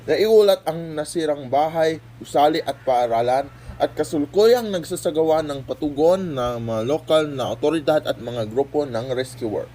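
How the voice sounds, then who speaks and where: Bright-voiced male news anchor. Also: fairly high pitch, normal speed, a little hiss or hum. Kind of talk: formal scripted news bulletin.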